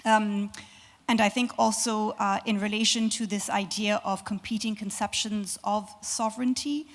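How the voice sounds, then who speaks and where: A hoarse woman losing her voice, giving a hesitant academic panel response full of fillers. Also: medium pitch, fairly even intonation, moderate pace. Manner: hesitant, many fillers, thinking aloud. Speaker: conference panelist. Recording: reverberant conference hall.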